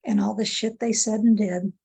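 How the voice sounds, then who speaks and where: Gravelly, husky woman in her sixties. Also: medium pitch, fairly even intonation, normal speed, dark tone. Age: 60s. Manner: candid, reflective, repeats phrases back. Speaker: client in a tapping session. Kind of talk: echoing affirmations after the practitioner.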